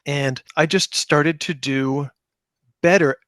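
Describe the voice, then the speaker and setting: Higher-voiced man chatting in a dry room. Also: steady tone, slow pace, a bit bright, no room sound. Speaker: podcast guest, game creator; high school teacher. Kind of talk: casual interview chat, anecdotal storytelling.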